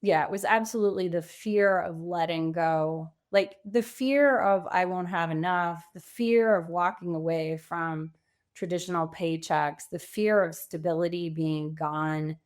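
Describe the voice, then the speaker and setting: A woman's voice: fairly low pitch, measured pace, smooth. A woman in her fifties giving candid, reflective answers as a guest in a conversational interview. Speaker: podcast guest, career coach.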